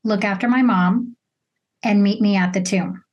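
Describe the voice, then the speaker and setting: A woman's voice: mid-range voice, normal speed, darkish tone, muffled recording. A woman hosting an interview in a casual, earnest, conversational way. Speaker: interview host.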